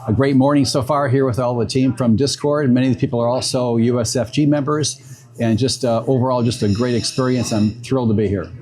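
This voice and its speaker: A man's voice: mid-range voice, steady tone, fairly quick, a little mellow. A man at an event, introducing himself to the camera in an upbeat, enthusiastic way. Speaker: event participant.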